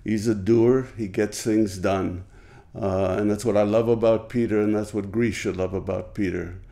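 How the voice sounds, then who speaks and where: A man speaking in a tribute interview, measured and admiring as he lists a colleague's qualities. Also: on the low side, steady tone, creaky, warm tone.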